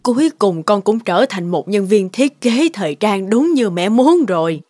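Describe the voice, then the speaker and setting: A woman voicing an anxious, overprotective middle-aged mother in an animated moral tale, with a fretful, earnest manner. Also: mid-range voice, normal speed, no echo, soft background music, natural voice. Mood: coaxing.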